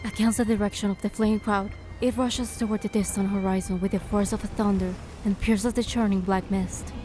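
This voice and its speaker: Casting: woman to play a solemn goddess narrator for a fantasy game, dark warm voice, faint music underneath. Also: mid-range voice, steady tone, moderate pace, lightly acted. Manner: solemn, storytelling. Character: wounded goddess narrating her suffering with pride. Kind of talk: dramatic scripted narration in a game.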